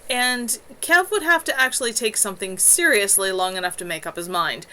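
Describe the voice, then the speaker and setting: A woman's voice: mid-range voice, a little fast, bright, forceful tone, close to an everyday voice. A woman voicing a sensible, wry young witch.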